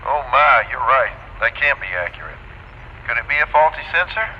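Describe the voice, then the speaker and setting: A man's voice: mid-range voice, big pitch swings, normal speed, highs cut off. An actor playing a friendly, cautious man on a two-way radio, questioning with concern in an audio drama. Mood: puzzled concern. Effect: two-way radio filter. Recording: thin, noisy radio transmission.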